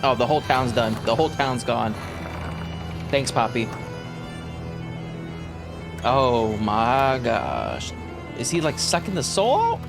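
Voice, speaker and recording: A man's voice: mid-range voice, huge pitch swings, takes it slowly, gravelly, pauses often, over music. A young adult man giving casual, unscripted reaction commentary as host of a video.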